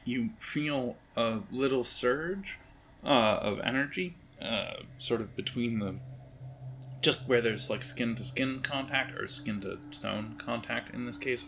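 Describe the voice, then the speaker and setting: A man's voice: medium pitch, bright, forceful tone, a little hiss or hum. A man narrating a tabletop game as game master in a casual, rambling, improvised way. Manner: rambling, improvising, hesitant phrasing.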